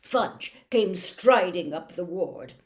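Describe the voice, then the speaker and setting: A woman's voice: on the low side, animated, takes it slowly. A woman narrating a storybook expressively as an audiobook reader.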